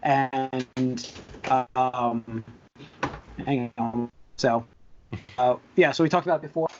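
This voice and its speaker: A man's voice: medium pitch, takes it slowly, highs cut off, over music. A man hosting a podcast in casual chat, rambling and easily sidetracked as he talks. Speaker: podcast host.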